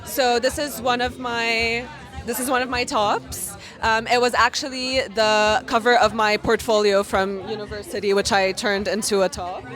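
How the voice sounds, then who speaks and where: A woman's voice: on the high side, little pitch movement, normal speed, crowd noise. A woman fashion designer answering casually in an interview. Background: music at a pop-up event.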